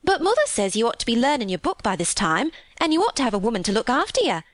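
A woman reader puts on a young Yorkshire housemaid for an audiobook. The girl is cheerful and excitable, rambling on in homely dialect. Her voice is lifted and very lively, in a dry recording. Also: fairly high pitch, quick pace, dry recording, pitched above the performer's own voice. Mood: chatty, earnest. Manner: eager, rambling, folksy dialect storytelling.

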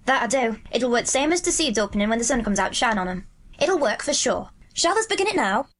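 A woman's voice: on the high side, very animated, fast talker, voice pushed up. Woman doing a cheerful boy. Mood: eager. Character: cheerful gentle country boy. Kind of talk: audiobook reading.

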